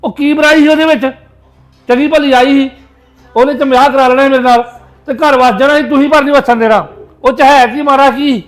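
A man's voice: sits high, expressive, normal speed, pauses often, roomy sound, soft background music. A man playing a hot-tempered, stubborn grown son in a family drama, complaining and accusing. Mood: angry, accusing.

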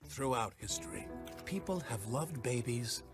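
A man playing a bossy, smug baby businessman in an animated film, talking in a dry, deliberate, self-important way. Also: medium pitch, close to an everyday voice. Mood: solemn. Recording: film clip with music behind.

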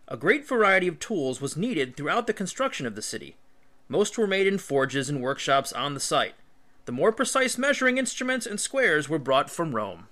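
A man's voice: fairly high pitch, bright, forceful tone. A male teacher reading an illustrated history book aloud, with emphatic, instructional phrasing.

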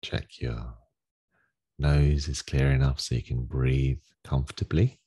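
A man leading a guided meditation as a teacher, giving gentle, unhurried instructions with long pauses in a gravelly, husky voice. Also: extremely low pitch, fairly even intonation. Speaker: meditation teacher leading a daily group session.

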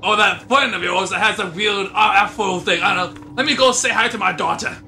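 A man's voice: sits high, a little fast, bright, forceful tone, slight background noise. A man voicing an irritable, protective father in a comedy game dub, exasperated and blustering. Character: irritable, protective father guarding his property.

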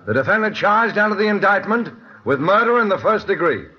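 An actor playing a formal court clerk proclaiming loudly in an old-time radio drama. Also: male voice, sits high, animated, a little fast. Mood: declamatory. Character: loud, formal male court clerk calling a case. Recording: muffled vintage radio recording.

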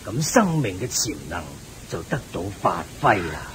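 An actor playing a wise, benevolent Buddhist layman who teaches patiently in a Hong Kong Cantonese radio drama. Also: sing-song. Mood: patient teaching. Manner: measured, benevolent, didactic. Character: kindly, wise male Buddhist layman sage. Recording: hissy, rumbly old radio recording.

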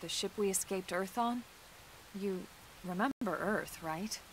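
A woman playing a composed, reassuring female sci-fi soldier in a video game cutscene, steady and matter-of-fact. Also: medium pitch, unhurried, natural voice, low-quality recording. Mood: calm, reassuring. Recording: faint rain and hiss behind.

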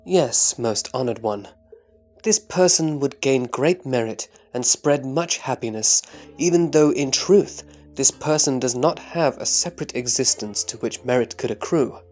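A man playing a reverent disciple answering his master in measured, scripted scripture drama. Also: medium pitch, expressive, a little mellow, faint music behind. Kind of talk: scripted audio drama recitation.

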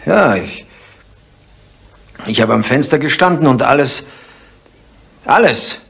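An actor playing a tense, husky eyewitness, emphatic and insistent, in a seventies radio crime drama. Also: male voice, mid-range voice, animated, slow pace, a bit bright, exaggerated voice. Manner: emphatic, repetitive. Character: tense male eyewitness insisting on his story. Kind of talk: 1970s German radio crime drama acting.

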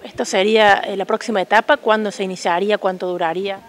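Fast-talking distant reporter. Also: mid-range voice, fairly even intonation. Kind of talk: asking interview questions.

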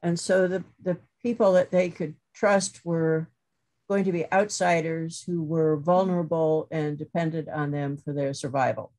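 A middle-aged woman professor giving a thoughtful webinar talk over a video call, pausing with many fillers and restarts. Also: sits low, steady tone, darkish tone, low-quality recording. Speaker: guest lecturer, professor.

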